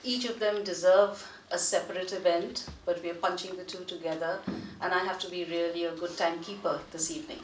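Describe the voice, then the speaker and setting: Female moderator, middle-aged, slightly low voice, speaking from afar in a noisy hall. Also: fairly even intonation, a little fast, few pauses. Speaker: event moderator, director. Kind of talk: welcome address to an audience. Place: large lecture theatre, indoors. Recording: echoey hall, distant, noisy.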